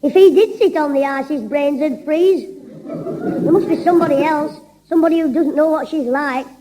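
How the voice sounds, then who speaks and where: A man's voice: very high-pitched, big pitch swings, moderate pace, a crowd in the background. A man playing a cheeky, mischievous little boy in a 1960s radio sitcom. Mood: scheming. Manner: cheeky, teasing. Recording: noisy old radio broadcast.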